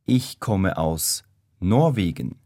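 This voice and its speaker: A man giving measured, deliberate answers in a scripted language-course dialogue. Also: deep voice, expressive, dark tone, no echo, clean studio sound.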